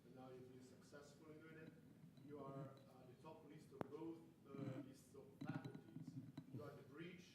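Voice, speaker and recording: A man's voice: fairly high pitch, fairly even intonation, moderate pace, few pauses. A man moderating a panel, putting hesitant questions with many fillers. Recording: distant, very echoey, loud noise.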